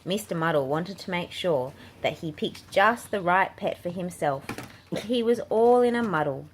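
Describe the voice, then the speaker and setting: A woman's voice: fairly low pitch, animated, normal speed. A woman reading a picture book aloud to children as a storybook narrator. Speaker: children's book reader and channel host. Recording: clearly noisy home recording.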